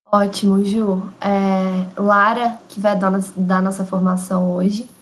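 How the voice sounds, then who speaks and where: A woman's voice: mid-range voice, little pitch movement, takes it slowly, low-quality recording. A woman hosting an online group meeting, giving short friendly remarks and calling on each participant. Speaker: host of an online study group. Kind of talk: casual meeting moderation.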